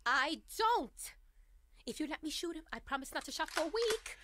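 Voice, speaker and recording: A woman's voice: high-pitched, very animated, normal speed, sharp tone, no room sound, studio quality, full character voice. A woman playing a fiery, melodramatic girlfriend in a comedic audio drama, exclaiming theatrically. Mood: sulky, annoyed. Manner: theatrical, exclaiming, peppering English with Spanish.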